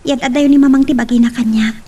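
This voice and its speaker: A sad, neglected young daughter in a radio drama. Her voice is high, soft and dark with a breathy husk, heard at some distance from the microphone. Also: steady tone, fast. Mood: sorrowful.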